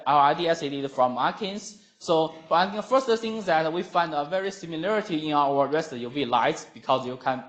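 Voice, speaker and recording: Higher-pitched non-native man presenting research. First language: not English. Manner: halting, slightly ungrammatical non-native phrasing. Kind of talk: scientific conference talk. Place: lecture room at a conference.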